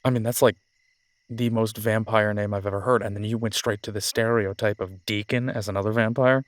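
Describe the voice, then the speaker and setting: Frank American werewolf, ex-army, acted by a man: easygoing, colloquial, fairly low voice, dry close audio-roleplay sound. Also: fairly even intonation, fairly quick, no echo, close to an everyday voice. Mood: amused. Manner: casual, colloquial, hesitant phrasing. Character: easygoing, frank male werewolf, former soldier. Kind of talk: dramatic audio roleplay acting.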